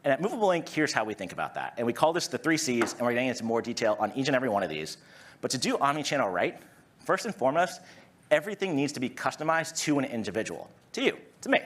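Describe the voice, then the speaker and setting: A man in his thirties from Massachusetts with a Massachusetts accent, giving an energetic, conversational conference presentation on stage and often tagging "right?" onto his points. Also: mid-range voice, quick pace, slight background noise. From Massachusetts, USA. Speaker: conference speaker. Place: conference stage before an audience.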